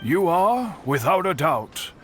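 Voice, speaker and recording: Slow, dignified master swordsmith. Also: male voice, fairly high pitch, very animated, fairly bright tone, exaggerated voice. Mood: solemn, reverent. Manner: deliberate, formal, philosophical. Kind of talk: video game voice acting. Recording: clear background music. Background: game music.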